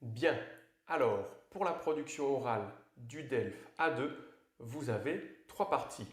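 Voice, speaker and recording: A man presenting an online French course, clearly explaining how an oral exam works. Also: mid-range voice, animated, slow, reverberant. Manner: clear, instructive. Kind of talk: scripted exam tutorial narration.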